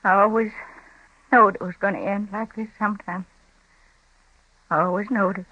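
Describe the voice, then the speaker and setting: An actress playing a weary older frontier mother on a vintage radio western, speaking softly in a plain rural dialect. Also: medium pitch, steady tone, normal speed, dry recording, close to an everyday voice. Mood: grieving. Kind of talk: 1950s radio western acting. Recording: tinny, narrow old radio broadcast.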